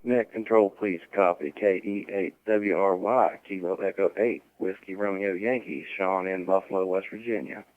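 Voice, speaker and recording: A deep-voiced man checks in to a radio net in a procedural style. His speech is clean, though the transmission is thin and phone-like. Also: steady tone, normal speed, hardly any pauses, a little mellow. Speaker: ham radio operator checking in.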